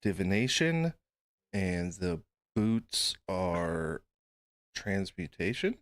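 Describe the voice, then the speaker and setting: A man's voice: low-pitched, sing-song, very slow, dry recording, clean studio sound. A man acting as game master, casually narrating a tabletop game and talking with the players.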